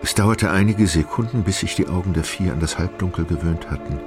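An actor narrating a mystery adventure audio drama as a grave, suspenseful storyteller. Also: male voice, deep voice, a little fast, over music, lightly acted.

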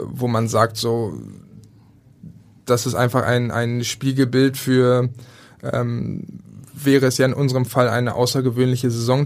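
A man from Berlin in his thirties, a handball goalkeeper answering interview questions in a hesitant way, with many fillers and restarts. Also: mid-range voice. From Berlin, Germany.

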